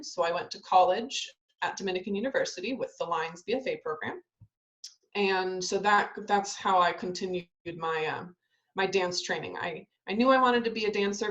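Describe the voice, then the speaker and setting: A woman's voice: on the low side, lo-fi sound. A young adult woman, a ballet dancer born in Italy and raised in Southern California, chatting casually in an interview with lots of ums and restarts. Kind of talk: casual interview over video call.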